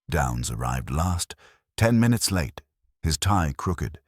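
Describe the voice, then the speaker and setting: Male narrator for mystery audiobook: very deep, dry close sound, measured and dramatic. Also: no room sound. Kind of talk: scripted detective story narration.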